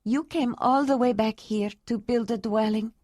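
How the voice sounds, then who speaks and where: A woman's voice: a little high, fairly even intonation, moderate pace, a little mellow, no echo, clean studio sound, voice pushed up, natural voice. A woman playing a tearful, heartfelt young woman.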